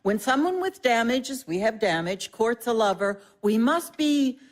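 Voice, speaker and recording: A woman's voice: a little high, big pitch swings, normal speed. An actress playing an imperious, worldly older mother in an audio drama, brutally frank and unsentimental, speaking in commanding maxims. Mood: coolly blunt. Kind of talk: audio drama acting, theatrical dialogue.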